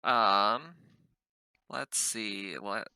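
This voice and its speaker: Male tabletop player, low, crisp and piercingly bright, casually plans aloud. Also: drawn-out words, dry recording. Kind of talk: casual game-table chat.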